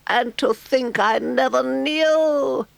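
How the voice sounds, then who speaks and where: A man's voice: extremely high pitch, vocal fry, dry recording, pitched above the performer's own voice, heavily acted. A man voicing a vain, rapturous male toad who talks in a dreamy, swooning way. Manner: dreamy, swooning, drawn-out exclamations. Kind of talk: storybook character voice.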